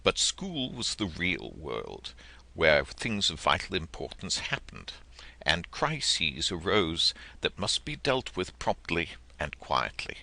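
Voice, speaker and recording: Deep, very bright, expressive male audiobook narrator. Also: slow pace, creaky. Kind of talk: literary storybook narration.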